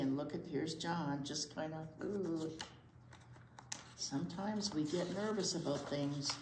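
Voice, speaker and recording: An older woman reads a picture book to children with asides, expressive and often dropping to a hushed near-whisper. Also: lowish voice. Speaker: children's library storytime reader. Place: indoor children's library storytime corner. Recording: roomy echo, faint background noise.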